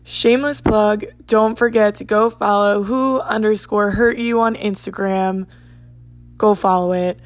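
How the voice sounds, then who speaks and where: Woman, 20s, from south jersey, podcast host, hoarse, raspy, chatty rambling, dry sound. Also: medium pitch, steady tone, measured pace, no echo. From South Jersey, United States. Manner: rambling, lots of "like" and asides. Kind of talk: casual chatty monologue.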